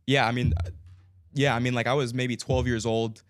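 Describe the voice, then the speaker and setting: A man's voice: medium pitch, flat delivery, moderate pace. A young man as a podcast guest, talking about himself in an upbeat, self-assured way in a studio. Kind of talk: casual interview. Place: recording studio.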